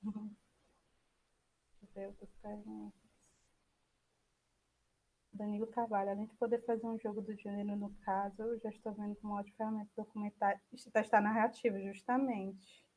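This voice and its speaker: A Brazilian woman giving an informal online software demo, thinking aloud hesitantly as she presents and answers questions. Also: medium pitch, little pitch movement, a little fast, pauses often, poor audio. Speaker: speaker giving an online talk.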